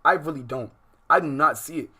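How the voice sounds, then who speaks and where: Guy streaming a reaction, kinda high voice, super slangy, cant believe it, keeps repeating himself. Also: brisk, pushed and bright, slightly rough. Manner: incredulous, emphatic, repeats himself. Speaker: livestream host reacting to a video. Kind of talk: casual reaction commentary with slang.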